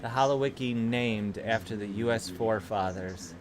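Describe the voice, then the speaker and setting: A man's voice: on the low side, moderate pace, a crowd in the background. Chatty young man with a Chicago accent. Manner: rambling, profane storytelling. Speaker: livestream host. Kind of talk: casual chat with chat readers. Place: small home room.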